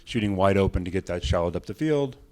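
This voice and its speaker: A man's voice: low voice, animated, fairly quick, a little mellow. A man giving an informal conference talk on technique as a presenter, speaking in short phrases. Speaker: cinematography presenter.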